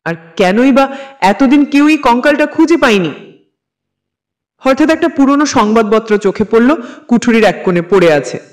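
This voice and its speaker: Male horror narrator, strikingly high register, scripted first-person suspense delivery with dramatic questioning. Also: lively intonation, normal speed.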